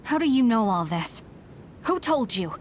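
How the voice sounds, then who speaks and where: A woman's voice: fairly high pitch, sing-song, unhurried, slight background noise. An actress playing a serious, bewildered young female psionic fighter in a dramatic video game scene. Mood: confused, questioning.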